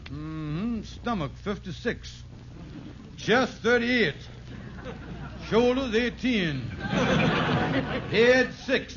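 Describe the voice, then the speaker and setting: A man's voice: high-pitched, expressive, very slow, pauses often, exaggerated voice. An actor playing a scheming, pompous conman who uses big words and mangled grammar in an old radio sitcom. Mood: pompous, mocking. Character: scheming, fast-talking male conman, pompous fake fitness instructor. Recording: muffled, noisy old radio broadcast.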